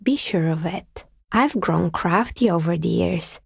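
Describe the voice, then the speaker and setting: An actress playing a wry, world-weary artisan dicemaker in a video game, speaking in a dry, understated, matter-of-fact way with a husky, breathy voice. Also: female voice, fairly low pitch, unhurried, no echo, full character voice. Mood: calm, assured. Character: wry, world-weary woman artisan who makes novelty dice. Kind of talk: video game character dialogue.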